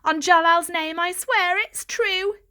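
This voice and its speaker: A woman's voice: extremely high pitch, slow, bright, forceful tone, voice pushed up, exaggerated voice. A woman voicing an earnest, anxious young male cat, urgent and pleading. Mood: insistent.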